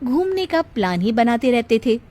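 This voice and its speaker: A woman's voice: fairly high pitch, big pitch swings, moderate pace, warm tone. A woman narrating a scripted family story as its storyteller.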